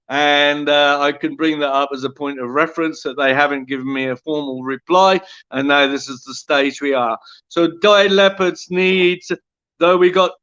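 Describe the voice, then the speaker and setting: A man speaking on a committee call, halting with many pauses between phrases. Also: on the high side, a bit bright, clean tone. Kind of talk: committee meeting discussion. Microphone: online call. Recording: dull lo-fi call audio.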